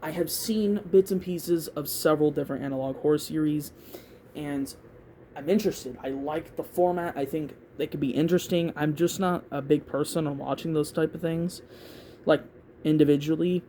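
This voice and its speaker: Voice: mid-range voice, steady tone, normal speed, warm tone, a little hiss or hum. A vlogger of unclear gender rambling casually through opinions, thinking aloud and repeating words in a hesitant way. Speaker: YouTube video creator giving opinions.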